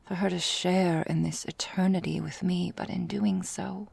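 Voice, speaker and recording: Woman doing an ancient vampire mistress for asmr, super creaky and low, possessive n melancholy, close dry whispery sound. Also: steady tone, darkish tone, dry recording, full character voice. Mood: regretful, hushed. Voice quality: breathy, whispery, gravelly. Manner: slow, formal, theatrical, deliberate. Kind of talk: ASMR roleplay monologue.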